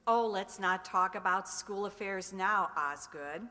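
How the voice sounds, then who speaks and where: A woman plays a sensible middle-aged wife in radio comedy style. Her voice is mid-pitched, bright and sharp, with a long hall reverb around it. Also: a little slow. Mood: gently chiding. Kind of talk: old-time radio sitcom acting.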